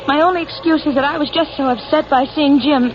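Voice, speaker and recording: Woman playing fragile overwrought lady passenger, high voice, halting, melodramatic, old radio drama, muffled. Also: steady tone, background noise, close to an everyday voice. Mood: shaken, apologetic. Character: overwrought married female passenger, fragile and apologetic.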